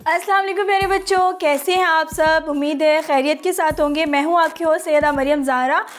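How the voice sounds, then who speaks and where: A woman's voice: high voice, fairly even intonation, fairly quick, faint music behind. A woman giving a scripted, friendly presentation to children as a craft host.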